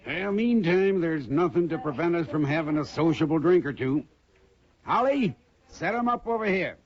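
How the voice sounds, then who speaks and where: An actor playing a jovial, boastful cattle buyer in a 1950s radio western. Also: male voice, fairly high pitch, animated, moderate pace. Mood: hearty. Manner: hearty, boastful. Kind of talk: 1950s radio drama acting. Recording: muffled old radio broadcast.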